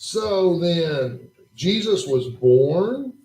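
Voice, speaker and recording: A man's voice: a little high, animated, drawn-out words, a little mellow, a little hiss or hum, rough recording. Man doing a wide-eyed boy puppet. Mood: curious. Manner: questioning, jokey and full of wonder. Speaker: puppet in a church children's show. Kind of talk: children's puppet show dialogue. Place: church.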